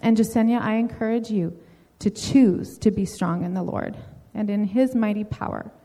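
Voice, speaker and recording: Woman giving encouraging words and a prayer, mellow voice, lots of reverb. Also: medium pitch, moderate pace. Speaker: mentor addressing a newly baptized woman. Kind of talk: encouraging message and read-out prayer. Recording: long reverb, hall-like.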